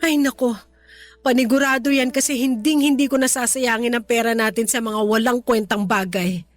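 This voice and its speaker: A woman playing a middle-aged, affectionate, remorseful mother in a radio drama, with a Filipino accent and a tender, pleading, expressive delivery. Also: on the high side, moderate pace, natural voice. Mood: earnest, light. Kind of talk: emotional radio drama dialogue. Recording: music bed under the dialogue.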